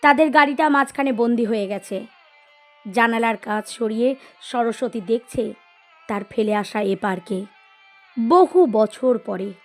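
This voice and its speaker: A woman reading a scripted literary story as narrator, in an expressive storytelling style. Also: fairly high pitch, normal speed, pauses often. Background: music.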